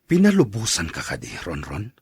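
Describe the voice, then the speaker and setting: A man's voice: sits low, wildly swooping pitch, normal speed, slightly rough, no room sound, studio quality, exaggerated voice. A man with an Ilocano accent playing a stern, resentful son and father in a radio drama. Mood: stern, questioning.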